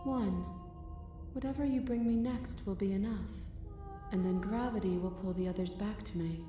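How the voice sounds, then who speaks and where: An actress voicing a vast, otherworldly princess of many arms in a video game, speaking in a calm, solemn, measured way. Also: female voice, medium pitch, lightly acted. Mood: serene. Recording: echoey game audio over music.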